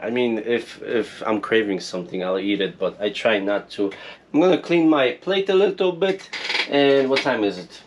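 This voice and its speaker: A man's voice: medium pitch, normal speed. A man from Poland with a Polish accent, chatting casually and rambling in a vlog from a small shipping-container room out in the desert. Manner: rambling, chatty, self-deprecating. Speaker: vlogger. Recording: steady background noise.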